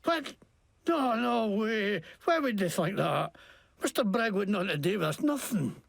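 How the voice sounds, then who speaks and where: A swaggering wasteland crook in a video game with a badly faked Scottish brogue. His gravelly voice leaps all over the place as he rants, curses and protests. It sounds dry and close. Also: high voice, very animated, measured pace, dry recording, full character voice. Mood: indignant. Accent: put-on, badly faked Scottish. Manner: profane, jumpy, indignant ranting. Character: crude scheming wasteland grease-monkey man faking Scottish. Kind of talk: video game character acting.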